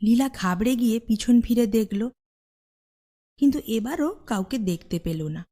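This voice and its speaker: A woman's voice: a little high, lively intonation, unhurried, dark tone. A woman storyteller giving scripted fairy-tale narration for children.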